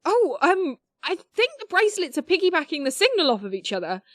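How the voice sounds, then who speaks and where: High-pitched nerdy, awkward teen girl played by a woman. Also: sing-song, fairly quick, no room sound, studio quality. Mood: eager, hesitant. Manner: hesitant, rambling, technical explanations. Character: nerdy, awkward teenage girl, eager science whiz. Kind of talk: audio drama acting.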